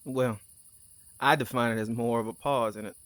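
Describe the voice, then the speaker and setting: A man's voice: mid-range voice, steady tone, moderate pace, fairly bright tone, dry recording, natural voice. A man playing an easygoing, teasing, candid crewmate on a mission in a naturalistic audio drama, talking casually. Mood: curious, nudging. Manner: casual, conversational.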